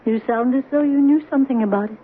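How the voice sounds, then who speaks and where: A woman's voice: high-pitched, fast, lightly acted. An actress playing a worried middle-aged mother who speaks hesitantly and trails off, in 1970s radio drama style. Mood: anxious. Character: worried mother of a teenage daughter. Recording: tinny, hissy old radio broadcast.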